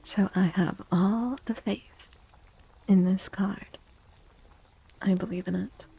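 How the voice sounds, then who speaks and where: A woman hosts an ASMR livestream in a very soft, breathy voice that often slips toward a whisper. Her delivery is slow and gentle, with a creaky edge and a mellow tone. She sounds close to the microphone as she chats. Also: mid-range voice, steady tone, rough recording. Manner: gentle, unhurried, greeting chatters. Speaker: livestream host, VTuber. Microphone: close microphone, dry.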